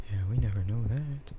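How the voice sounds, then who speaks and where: A man chatting softly in a casual ASMR stream, often slipping toward a whisper, with a rambling, hesitant delivery. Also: medium pitch, dull audio, background noise. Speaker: VTuber streamer. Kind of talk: soft ASMR casual chat.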